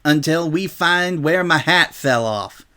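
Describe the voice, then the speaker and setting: A man's voice: fairly high pitch, animated, slow pace, pushed and bright, pitched above the performer's own voice, full character voice. A man voicing a plainspoken, stubborn female country pony, blunt and no-nonsense, in a story reading. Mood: firm annoyance.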